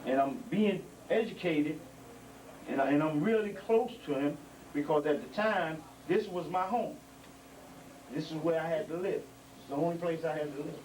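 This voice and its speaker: A man's voice: a little high, moderate pace, a little mellow. Preaching man, distant and noisy. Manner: preaching, repetitive emphasis, street vernacular. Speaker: elder speaker at a community gathering. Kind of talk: informal address to young members. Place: indoor building. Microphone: handheld microphone. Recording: distant mic with background noise.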